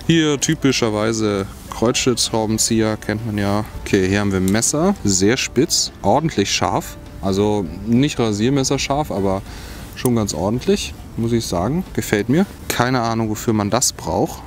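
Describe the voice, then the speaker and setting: A man's voice: on the low side, expressive, normal speed, slight background noise. Young man reviewing gear in the woods. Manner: casual, thinking aloud, trying things out. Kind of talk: hands-on product review. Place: outdoors in a bare forest.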